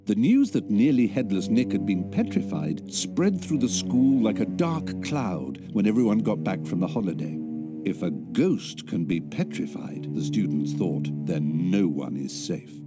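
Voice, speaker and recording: An actor playing the storybook narrator of a wizard school tale in a video game, reading scripted narration. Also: male voice, lowish voice, very animated, unhurried, over loud music. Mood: ominous, storytelling.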